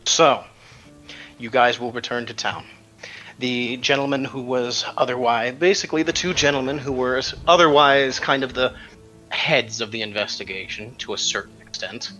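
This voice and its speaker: A man's voice: medium pitch, normal speed, sharp tone. A man acting as game master, casually narrating and chatting through a tabletop game. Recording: slightly dull audio over music. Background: music.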